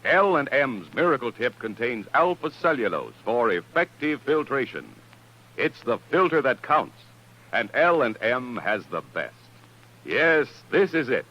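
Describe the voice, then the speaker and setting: A man's voice: mid-range voice, big pitch swings, a little slow. An American man reading a scripted advertisement in a 1950s radio style. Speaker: commercial announcer. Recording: muffled vintage radio recording.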